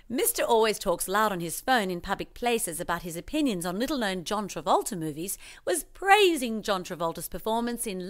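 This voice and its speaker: A woman reading a scripted children's storybook as parody, expressive and mock-earnest in her storytelling. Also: mid-range voice. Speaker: storybook narrator.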